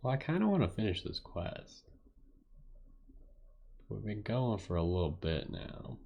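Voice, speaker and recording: A woman streaming a game, musing aloud in casual, low-key live commentary. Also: big pitch swings, a little slow, vocal fry, lo-fi sound. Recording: faint keyboard and mouse clicks.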